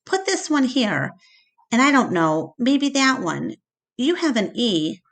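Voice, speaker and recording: A woman voicing an earnest, excitable young girl in a storybook read-aloud, speaking with wide-eyed urgency. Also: on the high side, takes it slowly, pitched above the performer's own voice, exaggerated voice. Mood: urgent.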